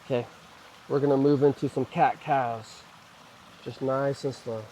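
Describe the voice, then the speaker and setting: A man leading a guided mobility flow, calmly cueing each move and breath, his voice slightly hoarse as he recovers from a cough. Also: medium pitch, unhurried, a little hiss or hum, rough recording. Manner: calm, gentle cueing of moves and breaths. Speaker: mobility and stretching instructor.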